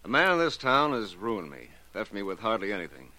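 A low, forceful male voice for a ruined man seeking revenge in a 1940s radio crime play. He is courteous on the surface and grimly determined, with lively shifts in pitch. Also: brisk. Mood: cold, vengeful. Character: ruined, bitter male client coldly bent on revenge.

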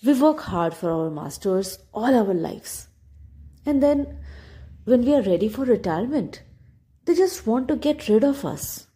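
A woman's voice: on the high side, animated, a little slow, mellow, pitched above the performer's own voice. A woman voicing a tired but kindly old male donkey who speaks sympathetically. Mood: sympathetic. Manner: sympathetic, encouraging.

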